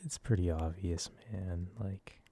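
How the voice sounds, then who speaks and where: A man in his early twenties rambling softly and casually in an ASMR style, with a self-deprecating manner. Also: deep voice, steady tone, measured pace, slightly rough. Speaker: ASMR video creator. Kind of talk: soft-spoken rambling ASMR.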